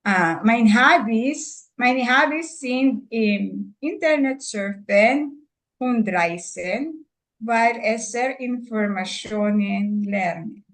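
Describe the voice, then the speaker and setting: A woman in her sixties with a Filipino accent and Tagalog as her first language, introducing herself haltingly as a student in a German class. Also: fairly high pitch, rough recording. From Philippines. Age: 60s. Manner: halting, hesitant learner with many fillers.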